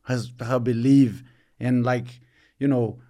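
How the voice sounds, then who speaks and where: Brazilian-born man, halting interview answers. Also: medium pitch, flat delivery, mellow. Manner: halting, searching for words, many fillers. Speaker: retiring football player, guest.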